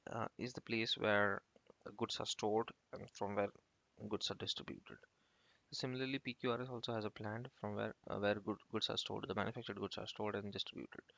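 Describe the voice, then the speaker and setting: A man teaches in an informal tutorial style, going step by step and often circling back to repeat himself. His voice sits a little low and has a heavy creak. The delivery is halting, captured close and dry with no room sound. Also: fairly bright tone, no echo. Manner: halting, repetitive step-by-step explaining. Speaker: instructor. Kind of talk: informal software tutorial explanation. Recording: muffled lo-fi screen-recording voice-over.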